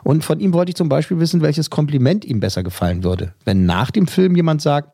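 Gravelly husky radio host. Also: male voice, a little high, expressive, warm tone, dry recording, clean studio sound. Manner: brisk, chatty, many fillers. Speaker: radio film critic, host. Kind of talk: radio film segment, casual banter.

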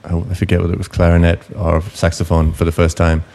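A man's voice: sits low, completely flat delivery, fast talker, warm tone, a little hiss or hum. A man co-hosting a casual interview, asking questions with frequent restarts. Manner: stumbling, many restarts. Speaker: podcast co-host.

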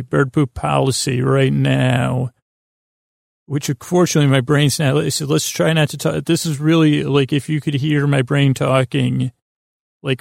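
Male podcast host, heavy vocal fry, dry recording, halting and meandering bedtime monologue that constantly interrupts itself. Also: on the high side, darkish tone, no echo. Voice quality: creaky, gravelly. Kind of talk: rambling sleep podcast monologue.

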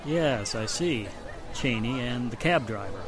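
An actor playing a considerate, businesslike police inspector in a 1940s-style radio drama. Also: male voice, mid-range voice, animated, measured pace, a crowd in the background, background noise, natural voice. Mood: grave, official.

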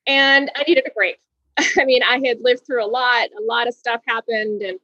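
A woman's voice: a little high, steady tone, moderate pace, pushed and bright, no room sound, highs cut off. Bubbly woman from Hawaii laughing often. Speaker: guest. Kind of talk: conversational interview storytelling.

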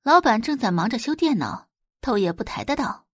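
A woman's voice: on the high side, sing-song, brisk, husky, no room sound, studio quality. A woman narrating a scripted audiobook drama in a storytelling style.

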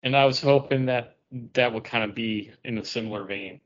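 A man's voice: mid-range voice, fairly quick. Guy hosting a podcast, just chatting, medium voice, slightly dull sound. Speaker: podcast host. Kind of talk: casual chat. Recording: dull lo-fi audio.

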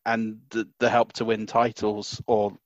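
A man co-hosting a podcast from home, talking loudly and excitedly, often restarting his sentences. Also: fairly low pitch, unhurried, no room sound, dull audio. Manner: excitable, stumbling restarts, loud. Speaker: podcast co-host. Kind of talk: casual podcast chat. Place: small house.

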